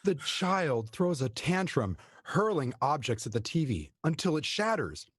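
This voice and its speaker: A man reads stage directions in a husky, lowish voice. His pitch moves in a lively way across each line, and the sound is close and dry. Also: no echo. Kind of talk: scripted narration of a play.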